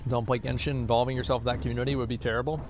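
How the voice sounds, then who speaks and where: A man's voice: medium pitch, flat delivery, racing, background noise. A young man streaming a game from home, chatting casually with viewers, answering their questions in a blunt, rambling way. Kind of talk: casual chat with livestream viewers while gaming.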